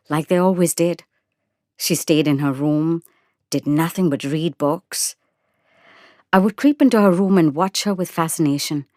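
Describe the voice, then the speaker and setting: Female narrator, lower register, measured dramatic storytelling, dry studio sound. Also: a little mellow, no room sound. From India. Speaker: narrator and actor. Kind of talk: dramatic first-person short story narration.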